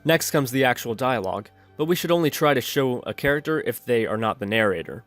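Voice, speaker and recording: A man's voice: mid-range voice, lively intonation, brisk, fairly bright tone, soft background music. A man narrating a programming tutorial in a methodical, instructional way.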